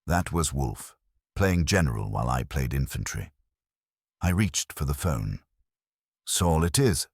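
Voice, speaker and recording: A man's voice: very deep voice, expressive, a little slow, slightly rough, no room sound, studio quality. A man reading a first-person detective story as an audiobook narrator.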